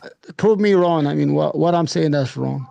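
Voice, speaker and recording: A man's voice: fairly high pitch, normal speed, creaky, crowd noise. A man in a heated online debate over a voice call, blunt and provocative, with broken phrasing. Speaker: debate guest.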